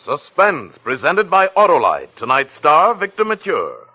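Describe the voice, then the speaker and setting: A man's voice: a little high, huge pitch swings, unhurried. A man announcing a show in dramatic 1940s radio style on a vintage broadcast. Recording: vintage radio under loud dramatic music.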